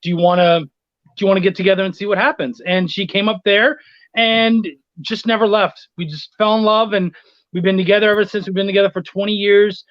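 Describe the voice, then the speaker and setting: A middle-aged man telling anecdotes in a casual interview, blunt and quick to swear. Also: high-pitched, steady tone, no echo, muffled recording. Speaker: interview guest, freelance writer.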